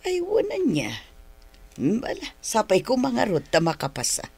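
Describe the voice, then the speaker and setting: A woman's voice: a little high, huge pitch swings, moderate pace, darkish tone, breathy edge, heavily acted. A woman playing a nosy, gossiping village neighbor in a radio drama, with an Ilocano accent. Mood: curious.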